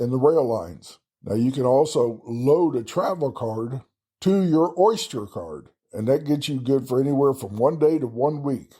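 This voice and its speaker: A man with a Texan drawl talks through travel tips in a loose, folksy way, tossing in chatty asides at his own expense. His voice is dark and mellow, with a husky grain to it. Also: mid-range voice. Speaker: travel podcast host. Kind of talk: casual solo travel talk.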